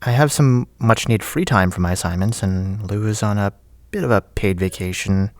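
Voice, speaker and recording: An actor playing a friendly, teasing friend with a hesitant, chatty manner in a naturalistic audio drama. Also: male voice, on the low side, moderate pace, no room sound, natural voice. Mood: warm, joking. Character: friendly, teasing male friend leaving a recorded message.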